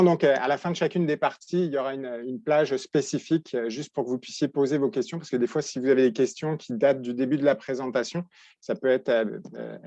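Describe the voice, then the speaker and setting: Halting French-accented man, dull dry sound. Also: medium pitch, darkish tone, no room sound. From France. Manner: halting, many restarts and repeated words. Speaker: veterinary professor presenting. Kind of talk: webinar presentation.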